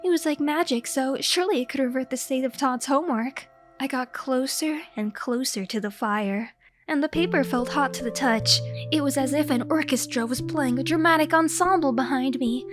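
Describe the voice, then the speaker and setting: High-pitched woman narrating a story. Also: moderate pace, over music. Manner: theatrical. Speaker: streamer narrating own written story. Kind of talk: scripted first-person story narration.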